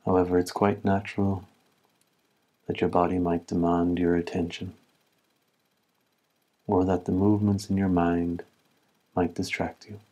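A man leading a guided meditation as an instructor, speaking in calm, measured phrases with long pauses. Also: deep voice.

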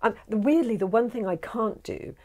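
A middle-aged woman from the UK, a guest actor and director, chatting casually in an interview with lively gestures and frequent restarts, recorded on a lapel mic in a small studio room. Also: on the high side, moderate pace. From United Kingdom. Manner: animated, gestures a lot, many restarts. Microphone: clip-on lapel mic.

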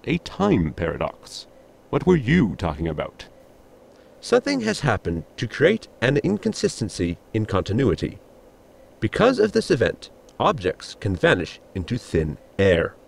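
An actor playing a polite, literal-minded ship's assistant with a gravelly, husky voice, explaining formally in a sci-fi audio comedy. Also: male voice, on the low side, huge pitch swings, measured pace, heavily acted. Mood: explanatory. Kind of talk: sitcom audio drama acting.

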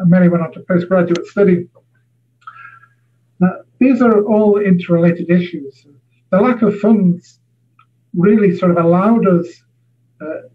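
A man's voice: sits high, husky. A man giving an academic seminar talk over a video call, hesitant, with many filler sounds. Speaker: university lecturer. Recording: tinny phone-like audio.

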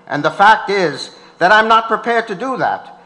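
Guy acting as an indignant old activist in court, high bright voice, big echoey auditorium. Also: lively intonation, moderate pace. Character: defiant old male activist on trial, indignant, principled. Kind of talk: staged reading of a court transcript. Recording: reverberant auditorium.

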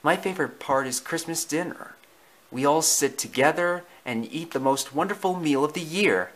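An eager, loving mother dog from a children's story , acted out by a man. The voice is lifted high and is gentle and reassuring as it talks to the family. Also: moderate pace, lightly acted, poor audio. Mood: fond, reassuring.

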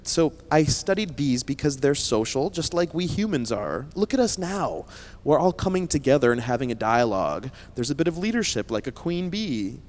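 A man's voice: a little high, sing-song, moderate pace, darkish tone. A man in his thirties giving an emphatic motivational talk to students on stage into a handheld microphone, often checking in with the audience. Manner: emphatic, addresses audience with frequent "right?" tags. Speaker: scientist and company founder giving a keynote talk. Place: stage at an indoor conference.

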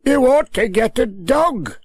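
A man's voice: extremely high pitch, animated, slow, slightly rough, no room sound, voice pushed up, full character voice. A man voicing a fussy older farmer, full of advice. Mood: advising.

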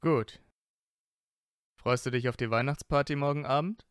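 Casting: man as reserved, caring young aristocratic male wizard, smooth mid-pitched voice, understated fan audio drama delivery. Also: normal speed, dry recording, clean studio sound, natural voice.

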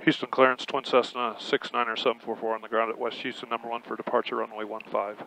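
A man's voice: mid-range voice, level tone, fast, creaky, a crowd in the background. A man working as a pilot in a small plane cockpit, making clipped radio calls and checklist callouts over a headset. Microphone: headset intercom. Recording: dull noisy cockpit headset audio.

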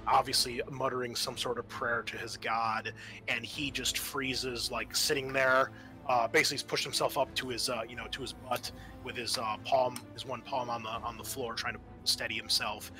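A man acts as game master for a tabletop horror game, with music behind him. His voice is bright and forward at an average pitch. He improvises descriptions informally and swears now and then. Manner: informal, descriptive, salty language.

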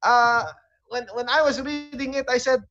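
A man's voice: very high-pitched, flat delivery, moderate pace, pushed and bright. A man with a Filipino accent comments conversationally and emphatically as co-host over a video call. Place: indoor room, on a video call. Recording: muffled video-call audio.

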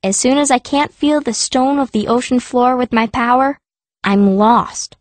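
A woman's voice: a little high, measured pace, no room sound. A woman reading scripted first-person narration as a fierce young girl heroine of a fantasy audiobook. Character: fierce, stubborn girl stone mage telling her story.

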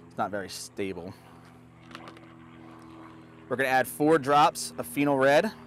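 A man's voice: medium pitch, animated, a little slow, a little hiss or hum. A man in his thirties hosting an unscripted how-to, talking step by step in an upbeat, plain way while working in a backyard. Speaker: host. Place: backyard outdoors beside a hot tub.

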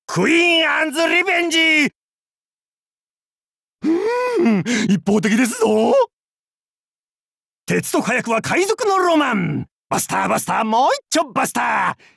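An actor playing a boisterous, crude and goofy male pirate captain in a game, with a gravelly, husky voice and a hammy, theatrical, jokey way of talking. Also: very high voice, very animated, a little slow, long pauses, fairly bright tone, dry recording, clean studio sound, full character voice. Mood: hammy battle cries. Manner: hammy, theatrical, jokey otaku-style speech. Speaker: game character voice. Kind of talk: voiced game character lines.

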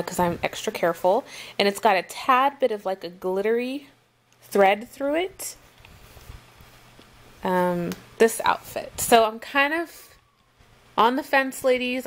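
A woman casually showing and chatting about outfits she laid out, gushing and pausing often with ums, talking at home. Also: medium pitch. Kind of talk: casual show-and-tell.

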